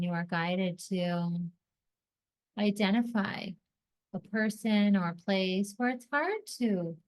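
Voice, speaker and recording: A woman narrates a guided meditation at a slow pace. Her voice sits at an average pitch for a woman. She delivers scripted, soothing instructions in short, deliberate phrases, leaving space between them. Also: a little mellow.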